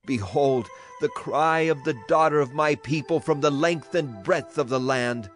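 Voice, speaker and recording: A man's voice: a little high, measured pace, lightly acted. A man acting a solemn prophet who speaks for a grieving, wrathful God in a dramatized scripture reading, declamatory in delivery. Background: music behind the voice.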